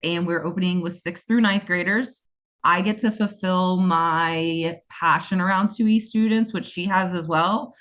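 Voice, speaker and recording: A talkative woman with a US East Coast accent speaks in a slightly low voice. She is in her forties and sounds enthusiastic as she chats casually with a host, and her thoughts tend to run on. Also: steady tone, measured pace, a bit bright. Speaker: guest, school co-founder. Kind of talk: casual interview conversation.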